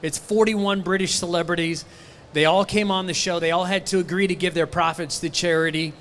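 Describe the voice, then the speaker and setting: A middle-aged man with a booming voice, an auctioneer guesting on stage at a convention with a handheld mic, joking with the crowd. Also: fairly even intonation, a bit bright, slight background noise. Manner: rapid auctioneer chanting, jokey banter.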